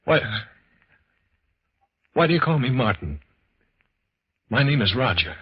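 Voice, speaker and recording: An actor playing an obsessed husband entranced by a phantom, intense and melodramatic in a 1950s radio horror style. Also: male voice, medium pitch, big pitch swings, a little slow, husky, full character voice. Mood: entranced, hushed. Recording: dull, lo-fi vintage radio recording.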